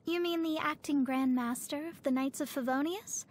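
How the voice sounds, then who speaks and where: A woman's voice: high-pitched, moderate pace, close to an everyday voice. A woman voicing a proud young female astrologer in a video game, speaking formally and pompously with theatrical delivery. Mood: grave, measured. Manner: formal, pompous, precise wording. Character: proud young woman astrologer, haughty, scholarly, easily flustered.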